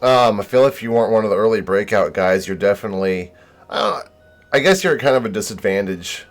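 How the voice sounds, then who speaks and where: A man's voice: on the low side, animated, brisk, soft background music. A young man streaming a game, chatting casually with viewers in a modest, hesitant way full of fillers.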